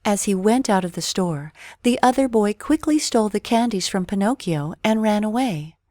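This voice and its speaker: A woman's voice: mid-range voice, expressive, moderate pace, warm tone, no echo, studio quality. A woman reading a scripted children's story as a storyteller.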